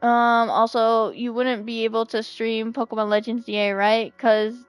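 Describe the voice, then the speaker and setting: High-pitched, playful woman streamer. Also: moderate pace, clean tone, dry recording. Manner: playful, expressive, pleading and exclaiming. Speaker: game streamer. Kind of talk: casual livestream chat over gameplay. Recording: music behind the voice. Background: music.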